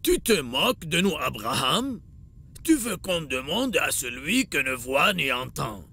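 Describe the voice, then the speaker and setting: A man's voice: a little high, sing-song, measured pace, sharp tone, slightly rough, full character voice. A man playing an outraged, authoritarian master of an idol-worshipping town in a religious cartoon, indignant and declamatory. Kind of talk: dubbed religious cartoon acting.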